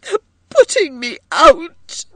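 A woman's voice: extremely high pitch, sing-song, takes it slowly, no echo, voice pushed up, heavily acted. A woman voicing an indignant young mother in a story, stammering and wailing through sobs with hurt fury.

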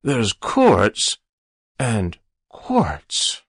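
A man's voice: on the low side, wildly swooping pitch, very slow, fairly bright tone, no echo, clean studio sound, exaggerated voice. A man voicing a suave, sly devilish stranger in a story, falsely polite and genteel, with businesslike menace. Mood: coolly officious. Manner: smooth, genteel, mock-pious, businesslike menace. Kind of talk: dramatized storytelling.

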